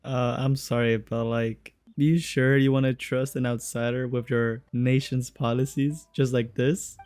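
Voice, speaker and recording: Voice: mid-range voice, little pitch movement, a little slow, darkish tone, dry recording. A game streamer giving casual, sardonic playthrough commentary with plenty of informal swearing. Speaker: game streamer reacting to a story quest.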